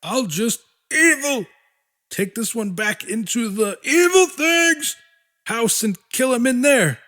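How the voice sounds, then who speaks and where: A man plays a small anxious monster posing as a human in a horror audiobook. The voice is pushed high and jumps around in pitch, strained and halting as it fakes a stilted cadence. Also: animated, pushed and bright, heavily acted. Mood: panicking. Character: panicky little monster puppeteering a wounded human body.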